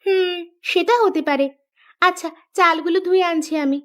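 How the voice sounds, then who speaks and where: A woman's voice: very high-pitched, steady tone, moderate pace. A woman voicing a cheeky, sweet young village woman in a cartoon, perky and playful.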